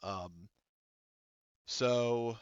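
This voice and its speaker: A man's voice: mid-range voice, smooth, dry recording. Guy running a tabletop game, stop-start casual talk, dry kinda muffled lo-fi audio. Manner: halting, rephrasing often. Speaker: game master.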